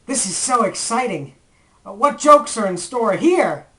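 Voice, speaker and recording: A man with a bright, punchy voice hosts a retrospective review. His commentary is scripted and playful, dipping into theatrical comic asides. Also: very high voice, big pitch swings, measured pace, poor audio. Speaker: retrospective video host.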